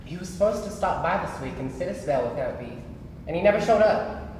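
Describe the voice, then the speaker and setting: A quietly protective, earnest young man from the country, acted by a man on stage. He is terse and reserved, with a slightly high voice. A reverberant hall and faint noise surround him in this play reading. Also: expressive, brisk. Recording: echoey hall, noisy live stage pickup.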